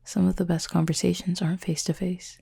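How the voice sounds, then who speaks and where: A woman playing an injured fallen cupid in an intimate roleplay audio, soft and breathy, with a formal, measured, dryly aloof but curious manner. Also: fairly low pitch, flat delivery, exaggerated voice. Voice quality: soft, breathy, husky with fry. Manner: measured, formal, wordy phrasing.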